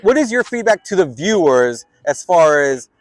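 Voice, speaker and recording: A man's voice: a little high, sing-song, moderate pace, no echo. A man hosting a casual interview, asking friendly questions with frequent restarts. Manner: friendly, hesitant, repeats words while framing questions. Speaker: student interviewer and show host.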